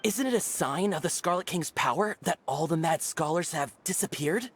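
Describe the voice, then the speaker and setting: An actor voicing a fervent, superstitious, easily cowed desert villager in a video game. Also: male voice, fairly high pitch, lively intonation, moderate pace, slight background noise, lightly acted. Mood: conspiratorial, fervent.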